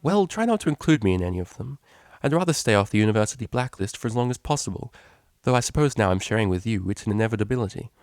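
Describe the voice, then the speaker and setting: A man's voice: fairly low pitch, lively intonation, quick pace, darkish tone, no echo, clean studio sound. A man voicing a prim, indignant yet fond female pony cellist with proper, formal phrasing in a story reading. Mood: composed, friendly.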